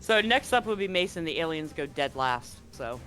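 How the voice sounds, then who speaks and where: A man's voice: on the high side, big pitch swings, sharp tone, faint music behind. A man running a tabletop science fiction game as game master, narrating scenes and rules with frequent restarts. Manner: halting, restarts mid-sentence while describing scenes.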